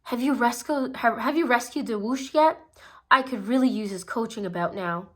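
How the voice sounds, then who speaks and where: A woman's voice: a little high, moderate pace, natural voice. A woman voicing an eager, cheerful young male firefighter pup in a read-aloud storybook. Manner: earnest and excited.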